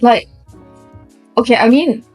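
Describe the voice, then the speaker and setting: A young woman from Singapore with a Singaporean English accent, chatting in a relaxed, self-deprecating way on a podcast. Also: fairly high pitch, slow, breathy edge, faint music behind. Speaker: podcast co-host. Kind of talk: casual podcast chat.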